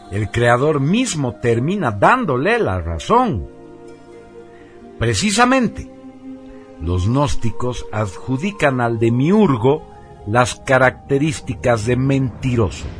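A man delivers a scripted radio narration with a mid-pitched voice. His reading is solemn and measured, with deliberate emphasis on key words. Soft music plays behind him. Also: big pitch swings.

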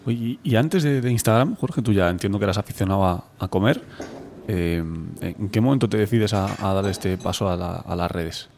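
Male co-host, lowish voice, informal questioning over faint noise. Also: moderate pace, darkish tone. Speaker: interview co-host. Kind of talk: casual conversational questioning.

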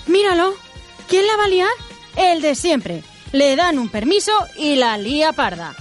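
A woman acting an excitable, gossipy party worker in a comic radio drama. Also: high-pitched, a little slow. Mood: gossipy excitement. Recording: party music behind the voice.

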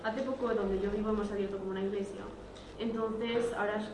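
A woman's voice: medium pitch, steady tone, quick pace, noisy background. A woman from Catalonia chatting casually as a participant in a workshop. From Tarragona, Catalonia. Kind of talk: casual chat. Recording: distant, echoey room with loud music.

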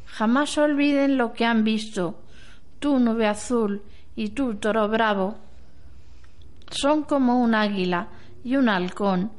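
Woman playing a slow, wise old grandmother. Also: a little high. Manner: slow, measured, instructing. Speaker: amateur radio drama actor. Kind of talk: amateur radio play acting.

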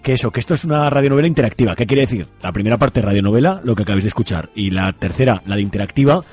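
A man's voice: fairly low pitch, expressive, rapid-fire, a little mellow, slightly rough. A man with an accent from Spain hosting a radio show, chatting casually in a joking, colloquial way. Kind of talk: casual unscripted outro chat.

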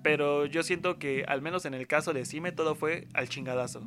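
A man's voice: on the high side, normal speed, music in the background. A young adult man with a Mexican accent, a university student talking casually in a rambling, slangy way and acting out what others said. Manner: slangy, rambling, acts out quoted speech. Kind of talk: casual conversation.

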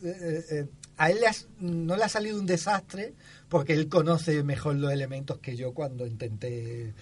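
A man in a book club discussion, talking hesitantly with halting restarts and a chuckling, anecdotal manner. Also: on the high side. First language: not Catalan. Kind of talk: casual discussion.

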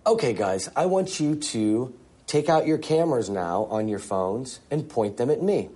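A man's voice: medium pitch, expressive, slow pace, warm tone, breathy edge. A man hosting a video test, upbeat and instructing a group. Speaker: video host. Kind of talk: hosted video segment.

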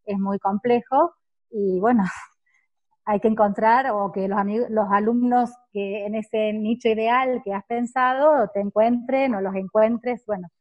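A woman's voice: medium pitch, steady tone, unhurried, a little mellow, no room sound, highs cut off. Woman, reflective, dry close sound. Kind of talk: casual group discussion.

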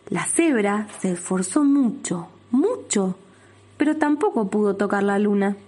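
A woman's voice: medium pitch, a little mellow, slightly rough. A woman with an Argentine accent, a kindergarten teacher reading a children's story aloud.

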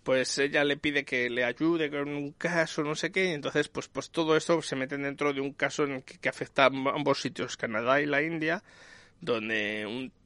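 A man's voice: medium pitch, normal speed, sharp tone, dry recording. A man giving a casual, rambling review as a conversational host. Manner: rambling, searching for details. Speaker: series reviewer and podcast host.

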